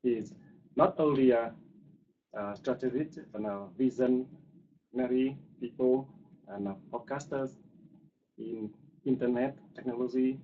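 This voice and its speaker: Halting male host, slightly muffled. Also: medium pitch, dark tone. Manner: halting, non-fluent English phrasing. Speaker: host introducing a guest. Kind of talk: formal spoken introduction. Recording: dull sound with faint background noise.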